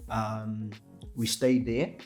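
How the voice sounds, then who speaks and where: A man's voice: on the low side, flat delivery, drawn-out words, a little mellow, faint music behind. A young South African man with a Cape Town accent, a podcast guest telling his life story in a casual, storytelling way, with many fillers and restarts. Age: young adult.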